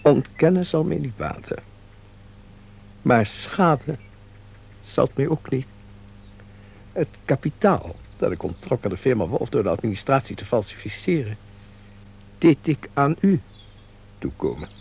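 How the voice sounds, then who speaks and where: Sly, slow-talking fawning bookkeeper. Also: male voice, medium pitch, big pitch swings, gravelly, a little mellow, slightly rough, exaggerated voice. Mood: smooth, calculating. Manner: formal, unctuous, theatrical declamation. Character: sly, fawning, embezzling bookkeeper, secret lover. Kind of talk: Dutch radio play acting. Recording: dull, noisy radio play recording with hum.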